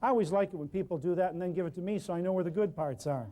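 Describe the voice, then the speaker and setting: A man teaching a natural healing workshop to a live class, talking loudly and emphatically in a folksy way, with lots of repeated lists of words. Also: high voice, very fast.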